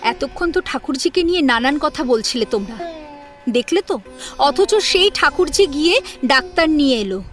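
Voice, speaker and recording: Woman acting an indignant daughter-in-law, high lively voice. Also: moderate pace, soft background music. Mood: reproachful. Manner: reproachful, pointed questioning. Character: outspoken, indignant young wife defending her sister-in-law. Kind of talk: Bengali audio story drama.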